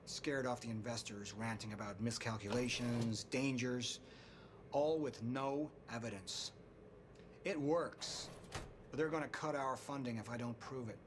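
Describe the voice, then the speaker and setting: An actor playing a driven, persuasive scientist in earnest, cinematic video game dialogue. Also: male voice, medium pitch, steady tone, a little slow, background noise, close to an everyday voice. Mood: earnest, pleading. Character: driven, persuasive male scientist friend, secretly nervous. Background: music.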